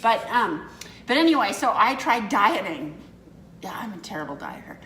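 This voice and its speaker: A woman's voice: mid-range voice, very animated, moderate pace, a bit bright, echoey room, slight background noise. A woman in her fifties co-hosting a casual chat, telling rambling stories that keep correcting themselves. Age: 50s. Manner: rambling, self-correcting storyteller. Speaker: co-host.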